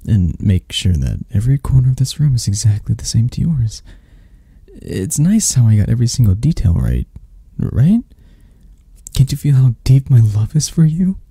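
A man voicing an obsessed, flirty yandere captor in an intimate ASMR roleplay, sweet and menacing, with lingering pauses. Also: medium pitch, sing-song, dark tone, no room sound, clean studio sound. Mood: adoring, possessive. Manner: slow, intimate, breathy sighs and pauses.

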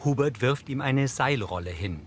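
A man's voice: mid-range voice, expressive, normal speed. A man reading scripted scene narration as an audio describer for a television crime show. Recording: music behind the voice.

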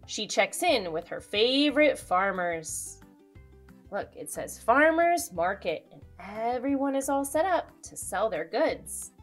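Woman in her thirties, high lively voice, unhurried storybook read-aloud, expressive, soft music behind. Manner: expressive, deliberate storytelling delivery. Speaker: children's read-aloud host. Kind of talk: storybook read-aloud with asides to young viewers.

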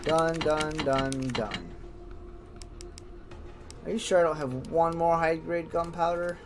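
A man's voice: fairly high pitch, takes it slowly, noisy background. A man doing casual gameplay commentary, thinking aloud and chatting informally to his viewers. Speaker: gamer, host of a let's play. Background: game music.

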